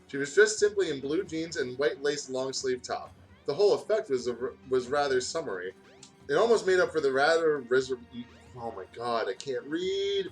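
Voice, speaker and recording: A man's voice: fairly high pitch, poor audio. A man doing a casual read-aloud of fan fiction, stumbling over words and breaking off to comment. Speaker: gaming video host reading fan fiction aloud. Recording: music playing behind the voice. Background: music.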